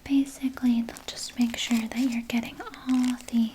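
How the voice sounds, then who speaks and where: A woman voicing a sly, doting vampire nurse in a breathy, soothing whisper for an ASMR roleplay. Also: a little high, flat delivery, noisy background, lightly acted. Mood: soothing, reassuring. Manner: slow, soothing, coaxing, softly articulated. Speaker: ASMR performer.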